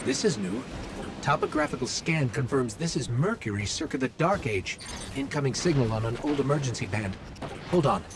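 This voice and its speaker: An actor playing a composed, helpful AI guide in a video game, giving articulate, purposeful briefings with an earnest, alert manner. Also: male voice, medium pitch, sing-song, normal speed, background noise. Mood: focused, informative. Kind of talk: dramatic video game voice acting, mission briefing.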